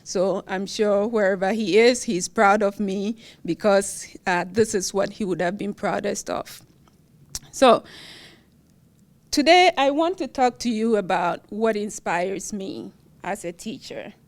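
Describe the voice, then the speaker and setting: A woman's voice: medium pitch, unhurried. A middle-aged woman from Ghana with a Ghanaian accent, a professor giving an award acceptance speech at a university event, with frequent laughter and fillers. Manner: warm, laughs often, with fillers. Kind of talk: speech at a podium.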